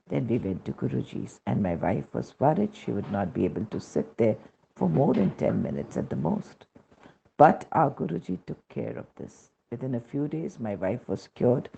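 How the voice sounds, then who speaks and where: Husky, gravelly Indian-accented man reading. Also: medium pitch, mellow. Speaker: reader of devotional testimonies. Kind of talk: scripted narration of a devotional testimony. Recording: dull, lo-fi recording.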